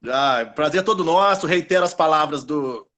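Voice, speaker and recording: A man's voice: on the high side, moderate pace, a bit bright. A man from Minas Gerais, a law professor, chatting in a friendly way on a video call webinar. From Minas Gerais, Brazil. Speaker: law professor asking questions. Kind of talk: casual webinar chat.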